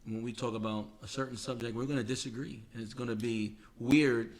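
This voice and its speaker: A man co-hosts a casual podcast conversation, speaking in a halting, reflective way and stretching words for emphasis. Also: mid-range voice, fairly even intonation. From Queens, New York, United States. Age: 40s. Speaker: podcast co-host.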